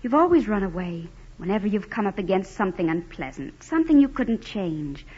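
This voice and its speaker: An actress in 1940s radio style playing an earnest, anxious young wife, pleading with a cruel aristocratic husband. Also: female voice, mid-range voice, very animated, brisk, a little mellow, husky. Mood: earnest, challenging. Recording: muffled, noisy 1940s radio recording.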